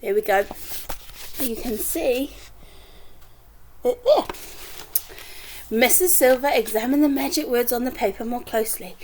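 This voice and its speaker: A woman reads a children's storybook aloud in a fairly high, very lively voice. She shapes each sentence expressively, like a teacher, and drops in chatty asides to the children listening. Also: unhurried, pauses often, a little hiss or hum, rough recording. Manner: animated, acts out dialogue, chatty asides. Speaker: teacher reading aloud to pupils.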